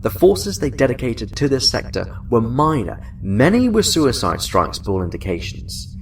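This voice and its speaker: An actor playing a genial senior admiral in a science fiction audio drama, measured and formal, sounding a little away from the mic. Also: male voice, mid-range voice, very animated, far from the mic, slight background noise. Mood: formal, briefing. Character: genial, senior male admiral, chatty then businesslike.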